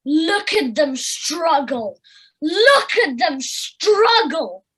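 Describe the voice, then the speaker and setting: A woman playing a cruel, dim weasel henchman in an audio play, shrill and jeering. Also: big pitch swings, drawn-out words, fairly bright tone, full character voice, low-quality recording. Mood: gleeful cruelty.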